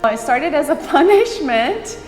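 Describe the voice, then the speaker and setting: A woman from Brazil, speaking candidly and lively in an interview, with bursts of speed. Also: high voice, unhurried, roomy sound, big-room sound, soft background music. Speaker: interviewee, runner.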